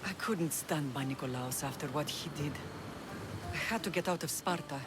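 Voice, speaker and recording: A woman playing a dignified older Greek mother who rules an island, grave and measured, in a video game drama. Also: low voice, background noise, close to an everyday voice. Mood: reflective, tender.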